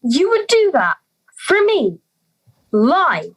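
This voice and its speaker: Anxious fairy-tale schoolgirl acted by a young woman, pleading, high voice, very expressive pitch, audio drama. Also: slow pace, heavily acted. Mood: sheepish. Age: young adult. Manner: hesitant, pleading.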